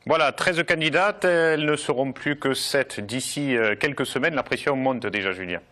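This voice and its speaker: A man's voice: mid-range voice, moderate pace, bright, forceful tone, faint music behind. A man presenting a regional TV magazine, speaking with frequent hesitations. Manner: hesitant, many filler sounds. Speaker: TV magazine host. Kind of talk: studio presenting with fillers.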